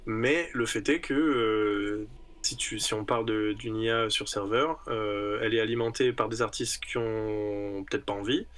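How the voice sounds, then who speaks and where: A man's voice: lowish voice, fairly even intonation, unhurried, a bit bright. A man co-hosting a casual podcast discussion, thinking aloud and repeating words with many fillers. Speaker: podcast co-host.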